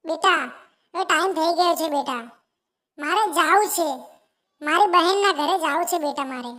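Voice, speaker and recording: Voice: extremely high pitch, normal speed, sharp tone. A performer voicing a doting, worried mother sparrow in a children's cartoon tale, coaxing and repeating fond words. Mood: reassuring. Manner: coaxing, repetitive, keeps addressing the child fondly. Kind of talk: animated children's story dialogue.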